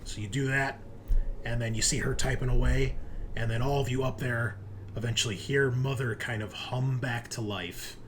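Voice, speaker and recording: A man's voice: medium pitch, steady tone, normal speed. Man running a tabletop game over background noise. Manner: informal second-person narration, improvised. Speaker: game master. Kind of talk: narrating a tabletop roleplaying game.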